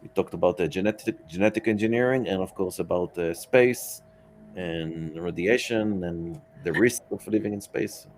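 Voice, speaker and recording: A man with a deep voice talks as a guest in a casual interview. His phrasing is hesitant and halting, and he builds his answers piece by piece. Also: darkish tone, soft background music. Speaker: podcast guest, science fiction author.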